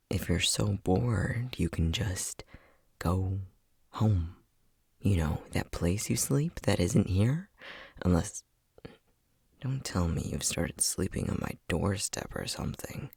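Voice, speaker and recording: A man's voice: low voice, takes it slowly, gravelly, pauses often, darkish tone, slightly rough, heavily acted. A man playing an unhinged, bossy scientist who teases and condescends in a sardonic ASMR roleplay. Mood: weary, bossy. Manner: sardonic, teasing, condescending, with frequent sighs.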